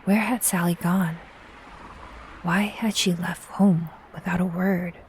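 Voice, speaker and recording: A woman narrating a scripted audio drama in a measured, suspenseful storytelling manner. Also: fairly low pitch, fairly even intonation, noisy background. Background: faint music.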